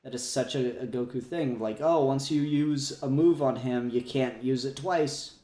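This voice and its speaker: A man's voice: mid-range voice, normal speed, warm tone. A man chatting casually as a podcast co-host in a fan discussion, rambling with emphatic enthusiasm. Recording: roomy echo, music behind.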